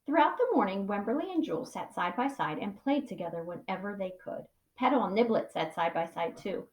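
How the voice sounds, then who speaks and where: Animated woman reading a children's storybook. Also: medium pitch. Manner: animated, slow dramatic emphasis, pauses to ask questions. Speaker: pre-K teacher. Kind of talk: expressive children's storybook read-aloud. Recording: echoey room sound.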